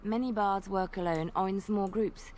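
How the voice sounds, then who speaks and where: A woman's voice: mid-range voice, steady tone, normal speed, slight background noise, lightly acted. A woman voicing a dreamy, playful bard. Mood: teasing. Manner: soft, lilting, fond of detail. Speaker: game companion character.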